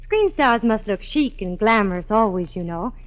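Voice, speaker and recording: A woman's voice: mid-range voice, animated, moderate pace. An American woman giving scripted, chatty fashion and beauty tips as a 1940s radio reporter. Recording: dull old 1940s radio broadcast.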